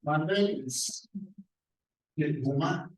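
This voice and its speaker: A man's voice: on the high side, slow, a little mellow. A man from Cameroon, a professor introducing himself on a panel, speaking emphatically and posing fiery rhetorical questions. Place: large echoey hall. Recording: echoey hall from a distance.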